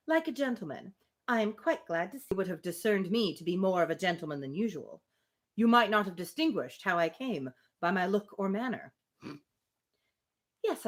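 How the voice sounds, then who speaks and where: A woman's voice: mid-range voice, moderate pace. A woman hosting a live reading of a classic novel, reading expressively with casual, amused asides. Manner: expressive reading, breaks off for informal amused commentary. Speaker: host reading a novel aloud on a livestream.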